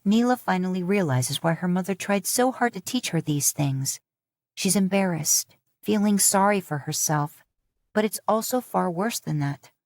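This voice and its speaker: Audiobook narrator: woman with a lowish pitch, calm and even, recorded dry. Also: no room sound. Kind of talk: audiobook fan fiction reading.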